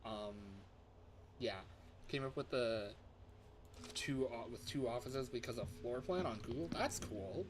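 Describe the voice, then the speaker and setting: A man doing casual live gameplay commentary as a streamer, excitable and reactive, often muttering to himself as he plays. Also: mid-range voice, measured pace, long pauses, noisy background. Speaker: livestreamer. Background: music.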